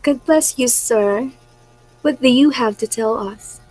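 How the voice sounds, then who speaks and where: A woman playing a reassuring queen and wife in a readers' theatre Greek tragedy, reciting her lines deliberately. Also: on the high side, darkish tone, rough recording. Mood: eager, relieved.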